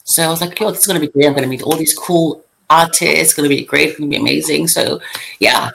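A young woman with a South African accent talks in a relaxed podcast chat. She is lively, laughs easily and often restarts her sentences in quick bursts. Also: low-pitched, creaky, background noise. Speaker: guest. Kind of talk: casual chat.

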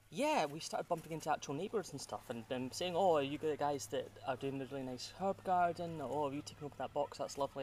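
A man's voice: mid-range voice, a little mellow, distant mic, a little hiss or hum. A Scottish man in his thirties chatting casually while gardening, laughing often and trailing off with fillers. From Scotland. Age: 30s. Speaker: podcast guest.

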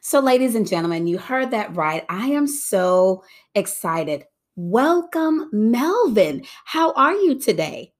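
A woman's voice: mid-range voice, very animated, slow pace. A woman hosting a podcast interview, upbeat and exclamatory as she welcomes her guest. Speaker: podcast host.

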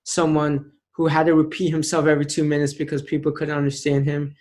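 A man in his late twenties, a motivational speaker telling his story as an interview guest, earnest and emphatic, slowing down to stress key phrases. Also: on the high side, monotone, darkish tone. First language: an Indian language. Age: 20s.

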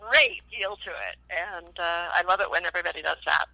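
A woman's voice: fairly low pitch, a little fast, creaky, bright, forceful tone, no room sound, low-quality recording. An older woman telling stories as an interview guest in a chatty, enthusiastic, casual way. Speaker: interview guest, former child actress. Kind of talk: casual interview, animated storytelling.

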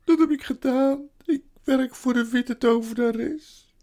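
High, wailing, guilt-ridden male faun. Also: fairly even intonation, warm tone. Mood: miserable confession. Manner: wailing, self-accusing, halting.

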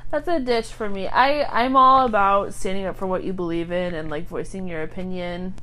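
A woman's voice: moderate pace, sharp tone. A young woman in casual chat with friends, sarcastic and self-mocking in her manner. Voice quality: lower voice than friends.

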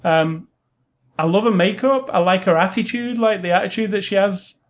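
Higher-pitched man chatting about films. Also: moderate pace. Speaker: film review co-host. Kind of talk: casual film review chat.

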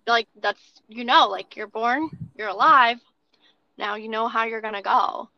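A woman's voice: a little high, a little slow, muffled recording. A young American woman chatting casually as an interview guest, earnest and informal. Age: young adult. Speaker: podcast guest.

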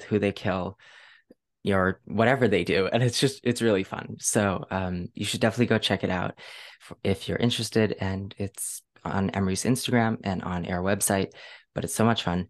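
A man with a very deep voice hosts a podcast. He talks casually and chattily, like he is catching up with a guest. His delivery is enthusiastic and gushing. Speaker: podcast host.

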